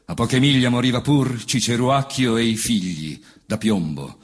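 A man reciting epic autobiographical verse in a solemn, declamatory manner, with deliberate pauses between phrases. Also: mid-range voice. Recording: voice over band music accompaniment. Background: wind band music.

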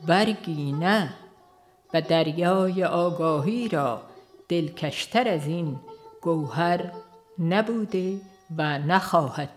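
A woman reads a religious book as a formal audiobook narrator, in a solemn, measured way. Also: lowish voice. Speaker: audiobook reader.

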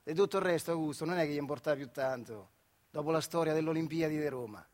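High-pitched central Italian male storyteller. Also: fast talker, darkish tone. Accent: central Italian, Marche. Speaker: storyteller, stage actor. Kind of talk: theatrical monologue storytelling in dialect.

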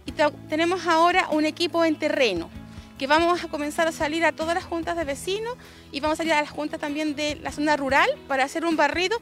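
High-pitched Chilean woman in interview. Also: quick pace, fairly bright tone. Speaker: health official being interviewed. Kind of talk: spontaneous interview talk. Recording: music behind the voice. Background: faint music.

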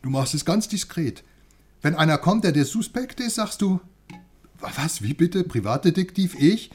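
Husky, cheeky, conspiratorial friend. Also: male voice, fairly high pitch, moderate pace. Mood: teasing, conspiratorial. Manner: animated, conspiratorial, teasing. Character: chatty, cheeky male friend, curious outsider in East Germany. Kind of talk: radio crime drama acting.

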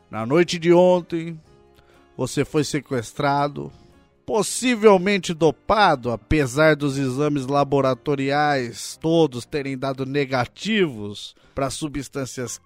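A man playing a stern tiger police detective in a comedic audio drama, speaking formally and deliberately with cold sarcasm. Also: on the high side, no room sound.

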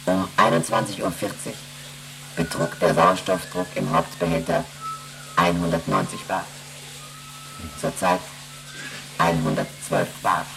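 An actress playing a female ship's computer in a German radio play, reciting emergency readings in a clipped, procedural monotone. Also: very deep voice, a little slow, vocal fry, long pauses, music in the background, full character voice, low-quality recording. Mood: impassive, procedural.

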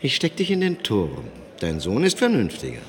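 Haughty emperor with wildly swinging pitch. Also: male voice, wildly swooping pitch, moderate pace, long reverb tail. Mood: scornful, grudging. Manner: theatrical, mocking. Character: haughty, mocking medieval emperor, grudging and scornful. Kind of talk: German radio play acting. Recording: music behind the voice.

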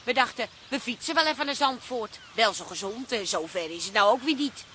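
An actress playing a bossy, exasperated but warm-hearted sister in a radio play, blunt and weary. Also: female voice, on the high side, lively intonation, brisk, a little hiss or hum. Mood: irritated, weary.

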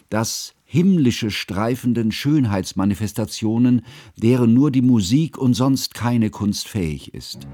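An actor playing a bookish, earnest, fussy scholar, narrating a literary radio play in a measured, formal, pedantic way. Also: male voice, on the low side, close to an everyday voice. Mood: reverent, earnest. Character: bookish, earnest, fussy male scholar recounting his friend's life.

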